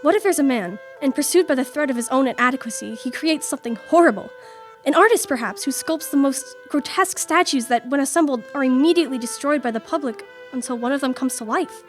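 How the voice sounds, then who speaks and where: An actress plays a determined young woman writer in a radio drama. Her voice is high, earnest and formal, with proud intensity. Faint music runs underneath. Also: fast talker, natural voice. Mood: eager. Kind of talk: radio play acting.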